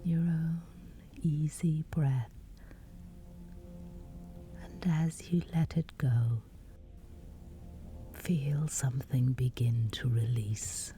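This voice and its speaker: A woman guiding a sleep meditation in a breathy, whispery voice, soothing and measured with long pauses. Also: extremely low pitch, steady tone, dark tone, faint music behind. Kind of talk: guided sleep meditation, scripted.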